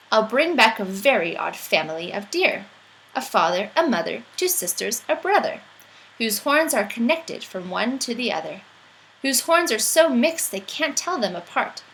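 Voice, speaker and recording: A woman's voice: a little high, a bit bright, slight background noise, exaggerated voice, rough recording. A woman voicing an eager, boastful, imaginative young boy in a storybook, with emphatic, sing-song rhyming delivery.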